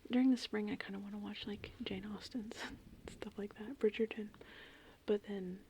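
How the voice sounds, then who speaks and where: A woman whispering in a casual, meandering, unhurried ASMR ramble, with a breathy, creaky voice. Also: mid-range voice, slight background noise. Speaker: ASMR host. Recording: steady rain and thunderstorm ambience. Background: gentle rain and thunder.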